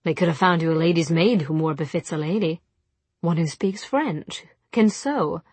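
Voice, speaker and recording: Suave young gentleman, read by a female narrator: low, husky, softly condescending, possessive audiobook dialogue. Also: moderate pace, no room sound. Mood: relaxed, satisfied.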